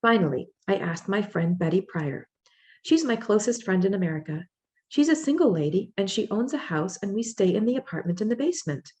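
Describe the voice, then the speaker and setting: A woman voicing a young immigrant mother in labor, reading her thoughts in the first person in a plain, gently rueful way. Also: mid-range voice, animated, a little fast, lightly acted. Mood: reflective.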